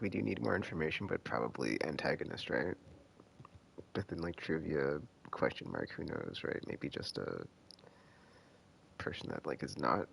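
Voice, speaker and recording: Very deep, creaky-voiced rambling male streamer. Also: slight background noise. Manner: rambling, halting, thinking aloud with trailing sentences. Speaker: game streamer. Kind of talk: casual live stream commentary.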